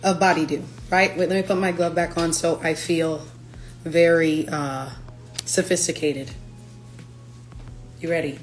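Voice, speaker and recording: A young woman, a singer-songwriter, chatting playfully on a livestream from her living room, her voice raspy from allergies. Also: low-pitched, fairly even intonation, unhurried, long pauses, soft background music, poor audio. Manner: chatty, playful, breaks into lyrics. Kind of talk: casual livestream chat. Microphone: phone camera at arm's length.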